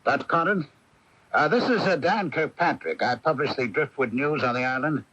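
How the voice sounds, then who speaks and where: An actor playing a newspaper publisher, a steady old friend who tells the story in a radio drama. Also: male voice, medium pitch, normal speed. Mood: calming. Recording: hissy, dull AM radio tape.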